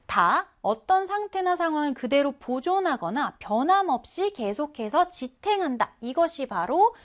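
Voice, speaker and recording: A woman with a high voice teaches a reading lesson for children. Her pitch swings widely as she explains, coaxing her young pupils along with questions and answering them herself. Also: sing-song, unhurried.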